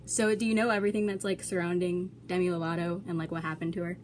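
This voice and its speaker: Young woman just chatting n asking stuff, voice on the lower side, faint noise behind her. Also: flat delivery, quick pace. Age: young adult. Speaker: client asking questions, vlogger. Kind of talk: casual chat.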